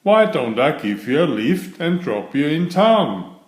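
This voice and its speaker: A man's voice: fairly high pitch, very animated, measured pace, darkish tone. A man playing a flustered fussy lady. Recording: roomy echo.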